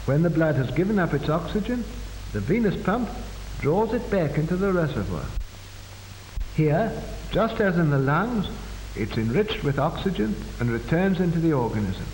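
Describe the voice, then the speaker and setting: A man reading formal, measured, solemn narration for a 1940s scientific documentary, on an old film soundtrack. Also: a little high, very animated, a little mellow, roomy sound, in a large hall, a little hiss or hum, rough recording.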